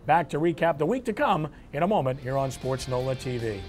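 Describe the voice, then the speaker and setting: Higher-pitched punchy man hosting sports. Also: lively intonation, brisk, over loud music. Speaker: sports talk show host. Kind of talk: sports show commentary and read promos.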